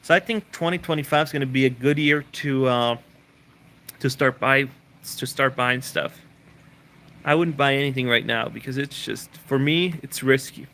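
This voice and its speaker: A man who grew up in Switzerland, chatting loosely through a live question-and-answer stream. His voice is bright and forward, at an ordinary middle pitch. He rambles, restarts his sentences and repeats words as he reads out viewer questions and answers them. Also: normal speed. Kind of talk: casual live Q&A chat.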